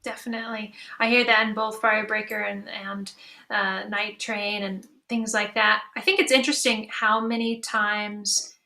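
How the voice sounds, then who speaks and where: A woman's voice: medium pitch, flat delivery, moderate pace, vocal fry, sharp tone. A woman speaking conversationally as the moderator of a creative panel discussion.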